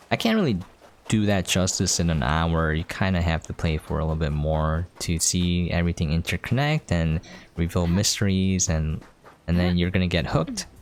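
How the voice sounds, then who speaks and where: A man's voice: deep voice, darkish tone. A man giving casual game commentary as a video host, in a laid-back, rambling way. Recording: clear background noise.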